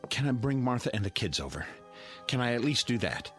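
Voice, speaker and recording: A man's voice: mid-range voice, very animated, brisk, faint music behind, close to an everyday voice. An actor playing a desperate suburban father in a radio drama, pleading and stammering.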